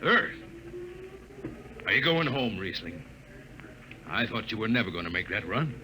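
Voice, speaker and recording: A man's voice: lowish voice, measured pace, slight background noise, heavily acted, rough recording. A man playing an earnest veteran spaceship crewman with an American accent in a dramatic 1950s radio show. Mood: concerned. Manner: animated, storytelling. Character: earnest veteran spaceship jetman narrating his memories. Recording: vintage radio recording, loud music behind.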